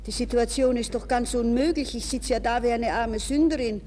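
A woman's voice: a little high, brisk, mellow, close to an everyday voice. An actress playing an anxious, proud young woman in a nervous, pleading manner, in the style of 1960s radio drama. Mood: inner anguish. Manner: breathless, nervous, shifting between polite speech and inner thoughts. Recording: reverberant, hissy 1960s radio recording.

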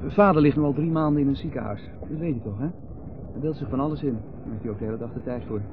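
A man's voice: medium pitch, fast talker, natural voice. An actor playing a nervous, hesitant man who rambles and repeats himself, in 1970s radio drama style. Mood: troubled. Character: Joris, nervous chattering man visiting his estranged brother. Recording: dull, noisy 1970s radio recording.

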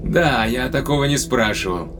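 An actor playing a tender but probing spaceship captain in a Russian audio drama, speaking conversationally with deliberate pauses. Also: male voice, medium pitch, expressive, noisy background, natural voice. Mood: probing, grave. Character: male spaceship captain, long-lived, tenderly probing his companion.

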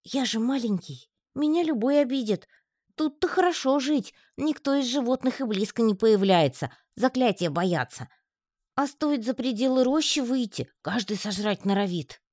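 A woman's voice: a little high, expressive, a little mellow, no echo, clean studio sound, voice pushed up. Woman doing a tiny whiny spider. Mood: plaintive. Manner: plaintive, pleading, quick to take offence. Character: tiny talkative lonely male spider, whiny. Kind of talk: audiobook reading.

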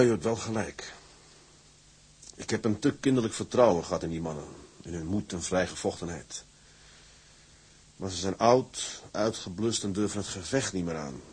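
Low, husky, defeated sea captain. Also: male voice. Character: defeated but stubborn tugboat captain. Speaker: actor in a radio drama. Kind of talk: Dutch radio play acting.

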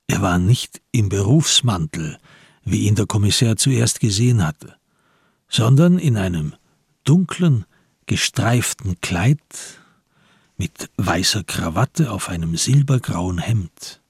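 A man narrating a literary crime radio play in a measured, deliberate and dramatic way. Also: on the low side, animated, a little mellow, slightly rough, no room sound, studio quality.

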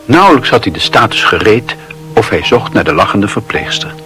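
A man narrating a literary radio drama in a measured storytelling manner. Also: fairly low pitch, big pitch swings, fairly bright tone. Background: music.

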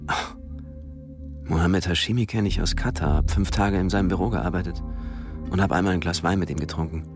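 An actor playing a wry, observant thriller narrator, telling the story in a dry, sardonic manner. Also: male voice, deep voice, fairly even intonation, a little fast, music in the background, lightly acted. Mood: sly. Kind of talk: scripted radio drama narration.

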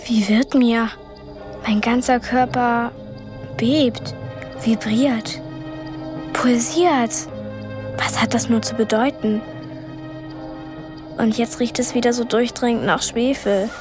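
A young girl telling her own thoughts in a radio play. Her fairly high voice is intense and breathless, over soft music. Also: fairly even intonation, slow, long pauses. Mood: anxious dread. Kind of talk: first-person radio drama narration.

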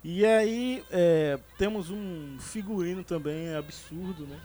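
A man's voice: high voice, slow pace, mellow. Hesitant man hosting a podcast. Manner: hesitant, many fillers and restarts. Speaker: podcast host. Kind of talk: casual movie chat.

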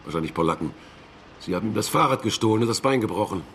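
An actor playing a brisk, matter-of-fact German print-shop boss in a radio drama. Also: male voice, lowish voice, expressive, a bit bright, a little hiss or hum.

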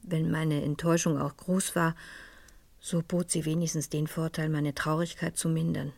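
A melancholy, probing lady in a radio crime play. Her voice is low and recorded dry and close. She speaks with formal composure and deliberate, reflective phrasing. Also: level tone, gravelly, a little mellow, no echo. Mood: reflective, sad. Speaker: actress and narrator in a radio crime drama. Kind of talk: German radio play acting with reflective narration.

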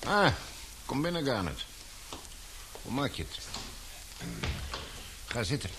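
An actor playing an authoritative older newspaper baron in a radio play, brisk, patronising and fatherly. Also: medium pitch, big pitch swings, background noise. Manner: commanding, patronising. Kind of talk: Dutch radio play acting.

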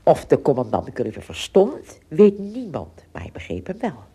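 A woman with a slightly husky voice, narrating a story in a dramatic storytelling style. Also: sits low, huge pitch swings, moderate pace, warm tone.

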